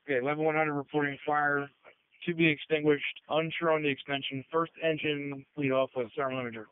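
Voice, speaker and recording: A man's voice: a little high, fairly even intonation, fairly quick, smooth, dry recording. A man working as a fire dispatcher, giving clipped, procedural readbacks over two-way radio. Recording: tinny narrowband radio transmission.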